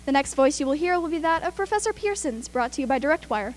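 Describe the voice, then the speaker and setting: A woman's voice: sits high, expressive, fast, slight background noise. A woman playing an earnest radio news announcer reading emergency bulletins formally in a radio play. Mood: urgent, formal.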